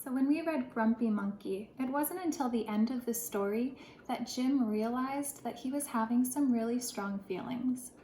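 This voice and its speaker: A woman, a first grade teacher, reads and talks to young children in an expressive, deliberate storytelling way. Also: a little high. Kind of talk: picture-book read-aloud for children. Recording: roomy echo, clear background noise.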